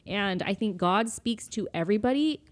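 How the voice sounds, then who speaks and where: A woman's voice: medium pitch, lively intonation, normal speed. A woman co-hosting a podcast in casual conversation, speaking in an emphatic, opinionated and informal way. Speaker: podcast co-host.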